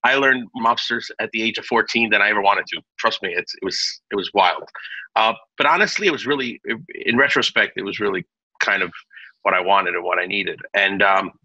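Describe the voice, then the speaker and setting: Chatty man from Naples, bright dry voice. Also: fairly low pitch, brisk, breathy edge, no room sound, muffled recording. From Naples, Italy. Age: 40s. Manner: chatty, many restarts and fillers. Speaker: restaurant owner, guest. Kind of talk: casual interview storytelling.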